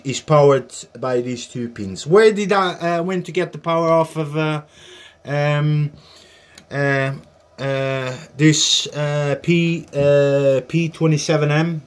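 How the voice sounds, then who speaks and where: A man giving an informal hands-on demonstration as a tutorial host, speaking in a halting, hesitant way. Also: mid-range voice. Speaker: host of a hobby radio tutorial. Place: small indoor workbench area.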